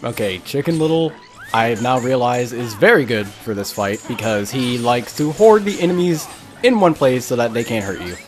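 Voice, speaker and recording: A man's voice: mid-range voice, expressive, normal speed. A man hosting a let's play, giving casual commentary and thinking aloud as he goes. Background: faint game music.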